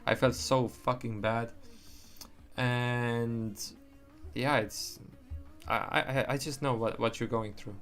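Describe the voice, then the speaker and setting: A man's voice: mid-range voice, fairly even intonation, takes it slowly, a bit bright, faint music behind. A young man whose first language is Estonian, talking casually on a livestream, rambling, swearing and restarting his sentences. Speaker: livestream trader.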